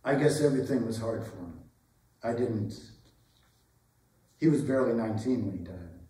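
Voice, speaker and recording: A man playing a tender, regretful former pupil in a dramatic stage reading, with a halting, understated delivery. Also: fairly low pitch, lively intonation, warm tone, natural voice. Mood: subdued, grieving. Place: indoor arts center stage. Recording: roomy, distant stage recording.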